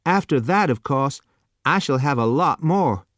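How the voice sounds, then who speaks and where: A man voicing a naive, eager seventeen-year-old boy who exclaims with wide-eyed excitement. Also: fairly high pitch, measured pace, dry recording, clean studio sound, pitched above the performer's own voice.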